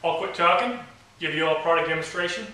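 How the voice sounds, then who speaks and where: A man's voice: a little high, fast, sharp tone. A young man with dry, wry humor, demonstrating a product to camera in a garage workshop. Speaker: company owner demonstrating a product. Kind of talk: product demo talking to camera. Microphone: camera mic from across the room. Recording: very echoey garage, heard from a distance.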